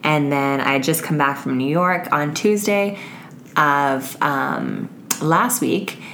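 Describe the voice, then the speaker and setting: A woman with an unusually deep, raspy voice that is hoarse and wearing thin. She hosts her own podcast in a loose, frank chat, peppered with fillers and swearing. A faint low rumble sits under the recording. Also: slow, a bit bright. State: hoarse, voice giving out. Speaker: podcast host. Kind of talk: casual solo podcast chat.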